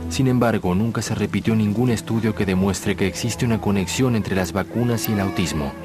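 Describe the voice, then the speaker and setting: A man giving scripted documentary narration in a steady, informative tone. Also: lowish voice, fast. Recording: music underneath.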